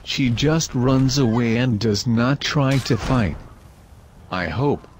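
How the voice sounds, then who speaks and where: A man's voice: medium pitch, slow pace, a little hiss or hum. A synthetic male text-to-speech voice reading a scripted video review in a mechanical way. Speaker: video narrator reviewing game mods. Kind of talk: scripted narration.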